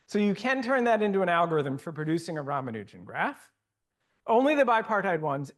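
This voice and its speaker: Lively higher-pitched male lecturer, self-correcting, thinking aloud. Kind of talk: academic lecture and Q&A. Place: lecture hall.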